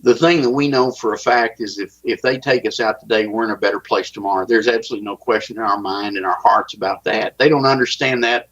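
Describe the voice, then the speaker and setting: A man with a Texas accent, giving halting interview testimony and often repeating words as he starts a sentence. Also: on the low side, steady tone, a bit bright. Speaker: guest. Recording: dull lo-fi audio.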